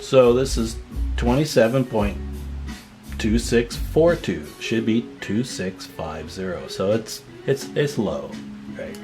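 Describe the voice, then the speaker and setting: A man's voice: fairly low pitch, expressive, takes it slowly, music in the background. A man giving a casual, hands-on demonstration as a repair technician, informal and folksy, thinking aloud as he works indoors at a test bench. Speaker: radio repair technician and video host.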